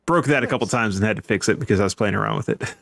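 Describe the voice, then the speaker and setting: A man's voice: fairly low pitch, gravelly, a bit bright, dry recording. A man hosting a casual chat show, talking in an easygoing, jokey way. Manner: easygoing, jokey, quick to chuckle. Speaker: podcast host.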